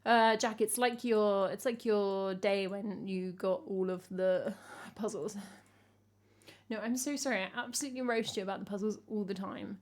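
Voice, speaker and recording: A woman from England with a croaky voice, chatting casually with her live-stream chat as a singer-songwriter and teasing herself as she goes. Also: mid-range voice, fairly even intonation, moderate pace. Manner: chatty, self-teasing, hums melody snippets.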